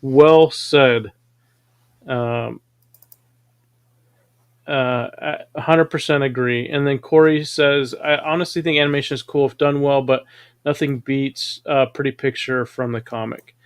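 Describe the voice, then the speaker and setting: A man hosting a casual live show, reading viewer comments aloud and responding in a relaxed, conversational way. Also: medium pitch, a little slow, smooth. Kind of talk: casual livestream chat.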